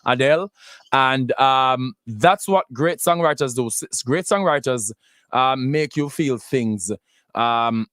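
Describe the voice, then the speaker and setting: Male radio host, mid-pitch, halting with fillers, dry close studio sound. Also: no room sound. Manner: halting, many restarts and fillers. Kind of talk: casual radio talk between songs.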